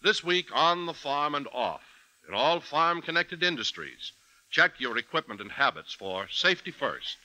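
A male radio announcer delivers a 1950s public service message with a very bright, forceful tone. His pitch moves in lively swings, and his delivery is formal and emphatic. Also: normal speed, poor audio.

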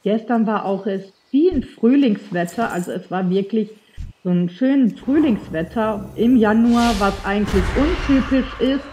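A woman's voice: fairly high pitch, unhurried, mellow, slight background noise, low-quality recording. A young woman with a slight cold chatting casually to her viewers while playing a game, rambling with lots of fillers. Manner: addresses viewers directly. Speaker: let's play streamer. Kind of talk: casual gameplay commentary.

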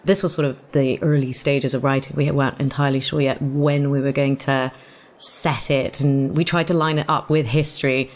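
Low-pitched woman in casual discussion. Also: flat delivery, normal speed, a little mellow. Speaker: audio drama director.

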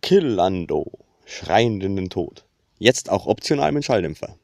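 A man's voice: low-pitched, big pitch swings, a little slow, vocal fry, shouting, over loud music, exaggerated voice. A man voicing a menacing commercial announcer in a parody ad, with an ominous delivery. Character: menacing deep-voiced male commercial announcer for killers.

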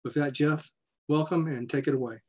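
A man's voice: on the high side, steady tone, normal speed, darkish tone, slightly rough. A man in his sixties opens a virtual symposium from a room at home, speaking through a video call. He is loose and jokey, with a few fillers as he reads out sponsors and introduces a guest. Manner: loose, joking, with filler words. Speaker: society president hosting a symposium. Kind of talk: casual conference welcome and introduction.

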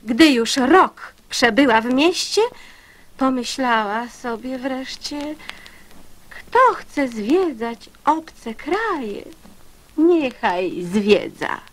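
A woman reciting verse for children in an expressive, storytelling style. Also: fairly high pitch, extremely slow, poor audio.

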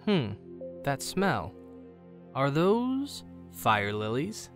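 A man playing a kind, earnest teenage boy in an animated fan drama, with a gentle, playful, conversational manner. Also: medium pitch, drawn-out words, soft background music, heavily acted. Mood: teasing. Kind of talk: fan visual novel voice acting.

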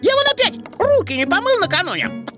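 A playful cartoon bunny boy, extremely high and lively in pitch. He chatters in cheeky slang, restless and carefree, over clear background music. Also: brisk. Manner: casual, slangy, exclamatory. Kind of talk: animated educational cartoon dialogue. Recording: music behind the voice.

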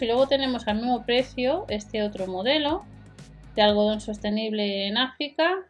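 Chatty woman reading out prices. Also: a little high. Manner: chatty, addresses viewers directly, lists prices and sizes. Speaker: shopping video host. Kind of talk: narrated product walkthrough. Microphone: voice-over with a desk microphone.

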